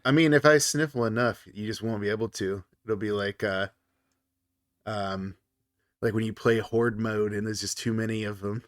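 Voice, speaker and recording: A man's voice: lowish voice, fairly even intonation, moderate pace, dry recording, clean studio sound. A man co-hosting a podcast, congested from a cold, joking and laughing easily in casual chat. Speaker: podcast co-host.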